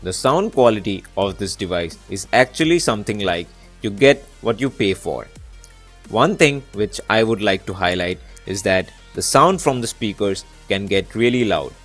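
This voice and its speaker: A man's voice: lowish voice, lively intonation, moderate pace. A man presenting a scripted product review as a video reviewer, in narration style. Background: background music.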